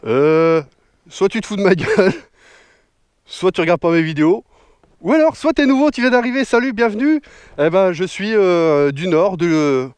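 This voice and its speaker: A man's voice: high-pitched, moderate pace, a little mellow, clean tone, dry recording. A man in his thirties from northern France, a motorcycle vlogger answering viewer questions in a joking, slangy way outdoors. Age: 30s. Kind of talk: casual Q&A vlog. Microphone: action camera mic.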